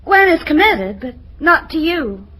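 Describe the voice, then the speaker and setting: A sharp-tongued teenage girl from a 1950s radio comedy. Her voice is very high and bright, and she talks in teasing, sardonic slang. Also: very animated, measured pace, exaggerated voice. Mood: indignant. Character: sharp-tongued, teasing teenage daughter of a middle-class family.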